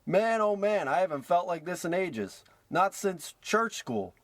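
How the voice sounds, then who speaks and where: A man's voice: sits high, very animated, unhurried, no room sound. A man playing a brash, scheming small-time American huckster with slangy old-timey patter in a parody radio play. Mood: amazed, restless.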